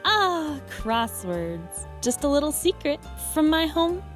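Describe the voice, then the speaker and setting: A woman's voice: high voice, sing-song, slow, heavily acted. A woman playing a sly, prim housewife giving sweetly confiding homemaking advice in a 1940s radio parody. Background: music.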